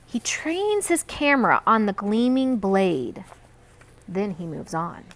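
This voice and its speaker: A woman reading a children's book aloud in an expressive storytelling style. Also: medium pitch, slow. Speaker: read-aloud reader.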